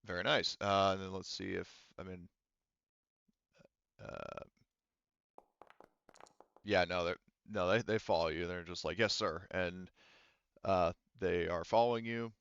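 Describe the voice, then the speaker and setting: A man's voice: sits low, steady tone, unhurried, gravelly, pauses often, fairly bright tone, clear, resonant tone, dry recording. A man acting as game master, talking casually and thinking aloud hesitantly over a slightly dull, dry online voice chat. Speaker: game master of a tabletop roleplaying game.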